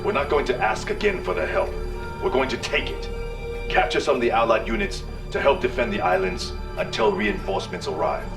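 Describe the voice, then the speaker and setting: A man playing a proud, indignant imperial prince in a video game, speaking in a dramatic, imperious way with a gravelly voice. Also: a little high, very animated, a little fast, full character voice. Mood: grave, resolute. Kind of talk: scripted game cutscene acting. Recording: slightly distant, music behind.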